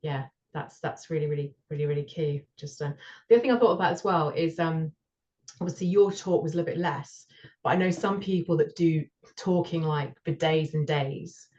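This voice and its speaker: A woman's voice: low-pitched, moderate pace, a little mellow. A woman speaking as a guest in a casual chat, supportive and a little hesitant. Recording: dull, muffled call audio.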